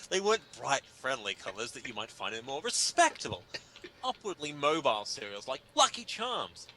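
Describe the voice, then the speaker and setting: A man performs a comic article in a bright, forceful voice with very lively intonation. His delivery is emphatic and sardonic, pitched as mock indignation. There is faint noise in the recording. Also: moderate pace. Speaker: reader performing a humor article. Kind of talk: dramatic comedic reading of an article.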